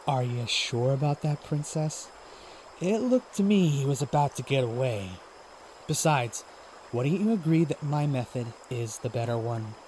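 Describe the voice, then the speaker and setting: Young knight , male actor, gallant and teasing, soft but firm mellow voice, fantasy audiodrama, faint noise behind. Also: mid-range voice, expressive, a little slow, close to an everyday voice. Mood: smug, teasing.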